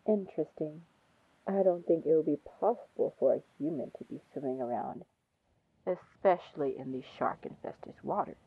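A woman playing a confident, teasing female pirate captain in an ASMR fantasy roleplay, flirtatious and commanding, with a husky, gravelly voice. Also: low voice, no room sound, phone-quality sound, exaggerated voice. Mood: coolly polite. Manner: slow, drawling, flirtatious terms of endearment.

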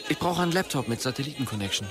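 Man acting dreamy grandiose creator, audio drama, lively intonation, music behind. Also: mid-range voice, racing, a crowd in the background, natural voice. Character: visionary, grandiose male creator at an internet start-up.

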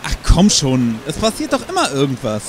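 An actor playing a brazen, scheming police detective in a German audio drama, casual and insistent in manner. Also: male voice, fairly high pitch, wildly swooping pitch, fairly quick. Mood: sheepish, coaxing. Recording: rain and music behind.

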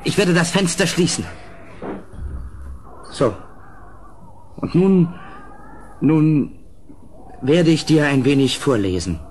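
A man playing an anxious, well-mannered houseguest in a gothic horror radio play, with a grave, literary delivery and a husky voice. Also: on the high side, animated, slow pace, long pauses, darkish tone, a little hiss or hum. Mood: nervous, pleading.

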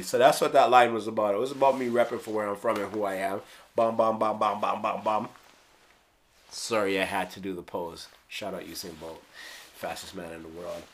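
A Jamaican man in his thirties casually explaining his own rap lyrics in a small room, playful and slangy, sometimes dropping to a hush. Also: fairly low pitch, gravelly, slight background noise. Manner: playful, slangy, drops into hushed asides. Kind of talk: casual solo video commentary. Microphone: studio mic on stand.

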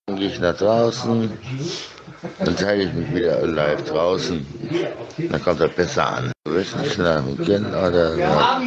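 A man's voice: extremely low pitch, highs cut off. A middle-aged man in a casual, rambling monologue, insistent and disjointed, repeating himself, speaking close into a phone.

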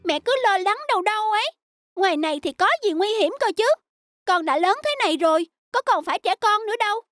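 Woman voicing a squeaky, cocky little donkey boy. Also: fast talker, no room sound, clean studio sound. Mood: defiant, whiny. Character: cocky, playful, stubborn young male donkey foal. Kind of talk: animated fairy-tale dubbing. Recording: loud children's music under the voice.

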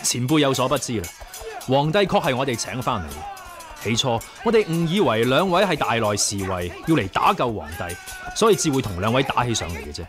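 An actor playing a courteous, earnest young leader of martial arts heroes in a radio drama, with a Hong Kong Cantonese accent. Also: male voice, mid-range voice, very animated, a little fast, natural voice. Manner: polite, earnest. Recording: radio drama with music behind.

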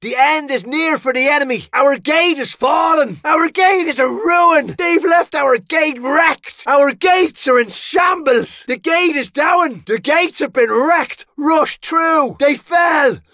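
An actor playing a cocky, wry medieval sellsword with an Irish accent and colourful, theatrical delivery in a video game. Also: male voice, very high voice, slow, few pauses, sharp tone, dry recording, heavily acted. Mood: rousing, urgent. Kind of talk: video game battle barks and voice lines.

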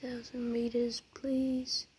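A woman with a croaky voice, chatting in half-whispers while commenting on a mobile game she plays. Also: a little high, completely flat delivery, takes it slowly, warm tone, a little hiss or hum. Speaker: gameplay commentator.

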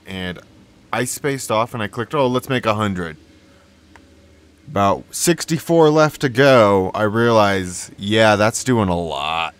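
A man with a slightly hoarse, failing voice, casually rambling as he plays and talks to himself and his creatures. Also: mid-range voice, fairly bright tone. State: losing his voice, sore throat. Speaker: gaming YouTuber. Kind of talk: casual gameplay commentary.

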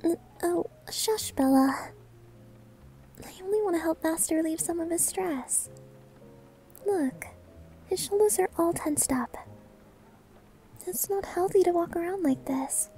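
A woman playing a shy, sweet young maid new to the job, hesitant and gentle, in an anime-style ASMR roleplay. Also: measured pace, long pauses. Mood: flustered, caring. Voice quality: soft, high, girlish. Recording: music behind the voice.

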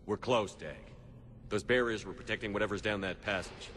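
A man playing a steady military commander. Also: fairly low pitch, a little fast, big-room sound, a little hiss or hum, close to an everyday voice. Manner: steady, clipped orders. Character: calm determined human military commander. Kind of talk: video game cutscene acting.

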